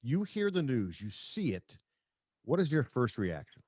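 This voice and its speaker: A man's voice: mid-range voice, very animated, unhurried, a little mellow, breathy edge, no room sound, studio quality. A man hosting a casual sports interview, informally setting up questions in short phrases. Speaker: sports show host, interviewer.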